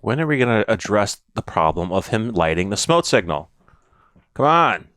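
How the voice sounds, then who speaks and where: A man's voice: fairly low pitch, moderate pace, no room sound, faint music behind. A man hosting a TV reaction video, commenting casually and animatedly. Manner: animated, casual, swears freely.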